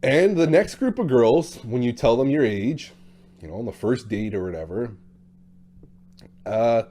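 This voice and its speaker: A man with a dark, mellow voice talks straight to camera in a loose, blunt monologue. He is talkative and casual and drops in quick impressions of other people. His pitch sits at an everyday middle. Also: animated. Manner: talkative, blunt, acts out quotes in shifting voices. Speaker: dating coach.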